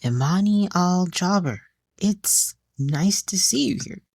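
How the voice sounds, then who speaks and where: A woman voicing a poised, proud singer who is gracious and guarded, speaking in a composed, measured way in an audio drama. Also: on the low side, lively intonation, vocal fry, a little mellow, no echo, clean studio sound, pitched above the performer's own voice, heavily acted.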